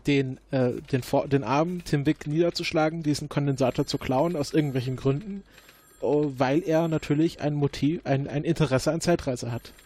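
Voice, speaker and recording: Man, fairly high voice, hesitant, searching for words, improvised detective game player. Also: moderate pace, a little mellow. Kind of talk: improvised detective role-play.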